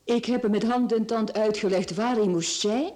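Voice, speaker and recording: An actress with a Flemish accent playing a teasing, flirtatious middle-aged mistress in a radio drama, coaxing and sly. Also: female voice, fairly high pitch, moderate pace, dark tone, lightly acted. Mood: gleeful.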